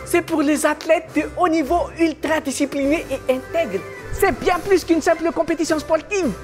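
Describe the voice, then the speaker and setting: An eager young dreamer , played by a woman, speaks earnestly and pleads with a Malagasy French accent. Her voice sits high. Soft music runs underneath. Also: normal speed, lightly acted. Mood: declaiming. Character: young man, eager Astérix fan.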